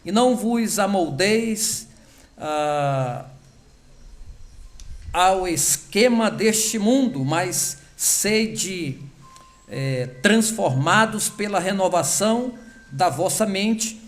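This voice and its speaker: A man's voice: high-pitched, animated. A man serving as host pastor, reading scripture and praying in church with an earnest, emphatic delivery. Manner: earnest, emphatic, slowing on key words.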